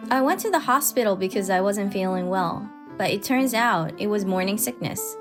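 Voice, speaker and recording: A woman's voice: mid-range voice, expressive, moderate pace. A woman reading scripted first-person story narration as a put-upon wife, with music underneath. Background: background music.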